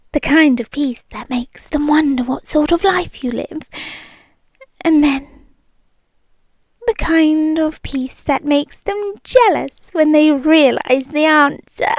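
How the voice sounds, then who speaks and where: A young British woman voicing a calm, controlling rich girlfriend in an ASMR roleplay, speaking slowly and softly and often whispering close to the mic. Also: sits high, no room sound. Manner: slow, soft-spoken, often whispering close.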